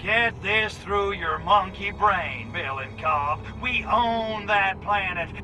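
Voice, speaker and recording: An actor playing a crude, cocky alien invader in a video game, brash and full of sarcastic wisecracks. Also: male voice, sits high, slow, noisy background. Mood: angry boasting. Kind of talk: video game cutscene acting.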